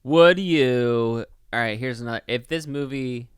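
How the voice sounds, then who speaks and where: A man chats casually as a podcast co-host reviewing films. His voice is clear and smooth at an ordinary pitch, recorded dry and close. He talks in loose slang with swearing and slips into little mock quotes. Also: a little slow, no echo. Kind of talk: casual movie review chat.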